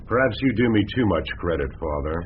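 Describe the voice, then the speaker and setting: An actor playing a self-assured New York advertising big shot in a radio drama, urbane and politely evasive. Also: male voice, low voice, animated, a little fast. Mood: coolly evasive. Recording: dull, slightly noisy radio recording.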